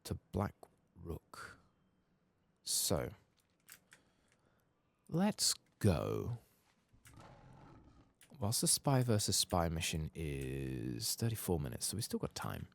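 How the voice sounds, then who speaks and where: A man speaking softly, sometimes whispering, as he hosts an ASMR game playthrough with murmured asides. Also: deep voice, wildly swooping pitch, slow, gravelly, long pauses. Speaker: ASMR gaming host.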